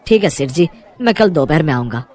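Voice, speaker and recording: A man's voice: a little high, expressive, fairly quick, a crowd in the background, background noise, close to an everyday voice. A man playing a poor, kindly rickshaw-puller father in a cartoon moral story, polite and reassuring. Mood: humble.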